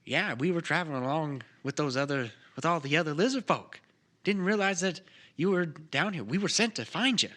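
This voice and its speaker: A man's voice: fairly high pitch, expressive, brisk, a bit bright, voice pushed up. A man voicing a talkative, eager lizardfolk companion in a tabletop game. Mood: eager, explaining.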